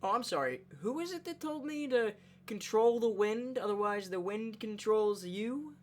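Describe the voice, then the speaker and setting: High-voiced man giving offhand game commentary. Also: expressive, moderate pace. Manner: offhand, dryly wry. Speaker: gameplay video creator. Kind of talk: casual let's play commentary. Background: game music.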